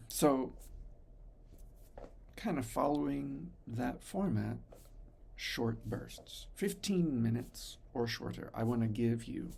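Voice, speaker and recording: A man in his fifties from the United States, talking slowly and thoughtfully to his son in a reflective personal vlog. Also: medium pitch, gravelly, slightly rough. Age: 50s. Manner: slow, thoughtful, pausing often, soft-spoken. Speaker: father recording messages for his son.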